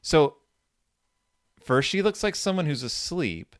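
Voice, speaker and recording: A man hosting a casual discussion, retelling stories and reading passages aloud in an animated storyteller's manner. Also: a little high, slow, no room sound, clean studio sound. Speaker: podcast host discussing horror fiction.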